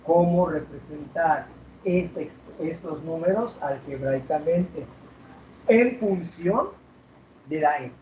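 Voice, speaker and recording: A man teaching math to a class, asking questions and drawing out key words slowly. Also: a little high, mellow, a little hiss or hum. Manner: asks students questions, drags out key words. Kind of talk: classroom lecture. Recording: roomy, distant, dull classroom recording.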